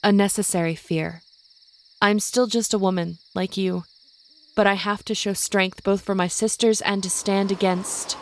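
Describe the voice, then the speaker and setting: An actress playing an older, hardened witch who leads and protects her coven, speaking in a grave, measured, commanding way in an audio drama. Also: mid-range voice, steady tone, a little hiss or hum, natural voice. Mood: resolute.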